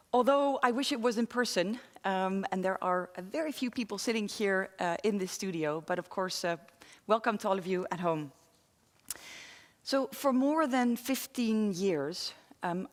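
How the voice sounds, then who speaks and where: A woman in her forties from London and the Netherlands giving a talk to an online audience, pausing often as she speaks. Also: medium pitch. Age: 40s. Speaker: guest speaker. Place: studio with very few people present. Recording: reverberant studio hall.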